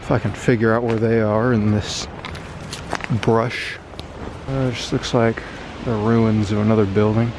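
A man narrating his own exploration of abandoned buildings in a dry, wry, deadpan way, filmed on a handheld camera. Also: on the low side, a little slow, background noise. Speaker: urban explorer vlogger. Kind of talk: casual solo exploring commentary. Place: abandoned buildings and overgrown fields, indoors and outdoors.

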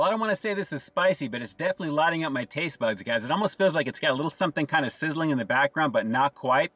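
A man in his forties reviewing fast food from inside a parked car, upbeat and enthusiastic, talking to viewers. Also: a little high, pushed and bright, no echo, far from the mic. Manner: upbeat, enthusiastic, often says "guys".

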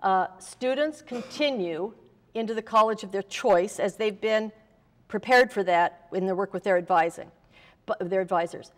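A woman in her sixties, a college dean, moderating a panel from a lectern in a large auditorium, measured and with frequent fillers. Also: mid-range voice. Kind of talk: moderating an open house panel. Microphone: lectern microphone. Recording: long reverb of a hall.

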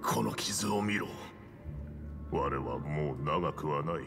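A solemn, confused yaksha warrior near death for a game cutscene. The voice is very low and slow, with archaic, halting phrasing over clear background music. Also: male voice, exaggerated voice. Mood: weary, wistful. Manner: archaic, grave, halting speech. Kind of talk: dramatic game cutscene acting. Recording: music under the voice.

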